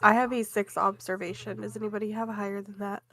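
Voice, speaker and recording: Mid-pitched woman playing a tabletop game. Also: level tone, fast talker, fairly bright tone. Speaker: player in a tabletop roleplaying game. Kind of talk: casual table chat.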